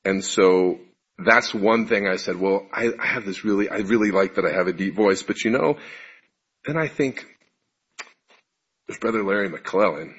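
A man with a deep voice giving a conversational sermon to his congregation, asking them questions and poking fun at himself. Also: moderate pace, long pauses, fairly bright tone, husky. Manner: conversational, self-deprecating, asks the audience questions. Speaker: preacher giving a congregation talk. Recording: muffled lo-fi recording.

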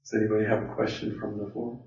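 A man's voice: lowish voice, completely flat delivery, quick pace, gravelly, a little mellow. A man leading a Bible study and question session, talking informally and thinking aloud with restarts. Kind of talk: informal teaching talk. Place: meeting hall with an audience. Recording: roomy, distant, dull sound.

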